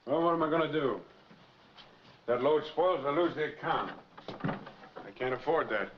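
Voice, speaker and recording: An actor playing a stubborn, harried owner of a small trucking business, curt and firm, in 1940s film noir style. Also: male voice, on the high side, lively intonation, unhurried. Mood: determined. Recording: muffled, noisy 1940s film soundtrack.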